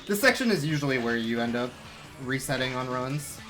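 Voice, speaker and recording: A man's voice: medium pitch, huge pitch swings, moderate pace, fairly bright tone, poor audio. Wry young man commentating a speedrun. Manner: wry, self-deprecating, explanatory. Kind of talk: casual gameplay commentary. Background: game music.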